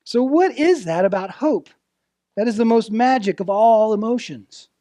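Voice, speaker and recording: A man with a high-pitched voice preaches in a close, dry sound. His delivery is earnest and deliberate, shaped as a sermon with rhetorical questions and dramatic phrasing. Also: dark tone, dry recording. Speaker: preacher, ministerial intern. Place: church service, speaking to a congregation.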